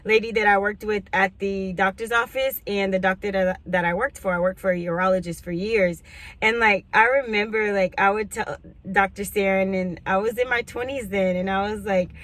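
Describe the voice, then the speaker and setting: A woman, chatting casually to camera as a couponing vlogger, rambling through asides and clearing her throat now and then. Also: medium pitch, steady tone, moderate pace, a bit bright. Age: 40s. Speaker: couponing YouTuber.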